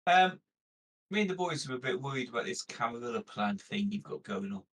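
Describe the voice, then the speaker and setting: A man's voice: mid-range voice, lively intonation, brisk, a bit bright. A man playing a tabletop game, talking in an informal, plain-spoken way. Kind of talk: casual in-character roleplay chat.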